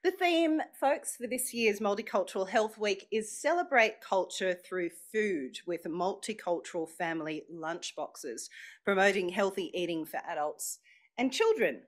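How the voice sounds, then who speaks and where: Lively Australian woman emceeing an event. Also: medium pitch, unhurried. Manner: chatty, playful, engaging the room. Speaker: master of ceremonies at an event launch. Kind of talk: live speech to an audience. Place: function room with a live audience.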